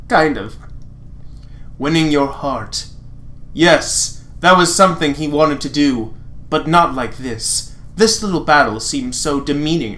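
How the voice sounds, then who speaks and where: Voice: medium pitch, fairly bright tone. A sarcastic, exasperated reader narrating fan fiction dramatically and breaking off for mocking asides.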